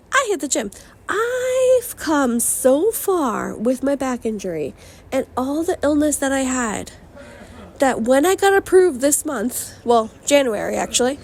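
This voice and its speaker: A young woman hosting her own vlog and podcast, bubbly and slangy, with a slightly strained voice that is still recovering, swooping between excited highs and low mutters. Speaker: vlogger and podcast host. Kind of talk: casual upbeat vlog talk.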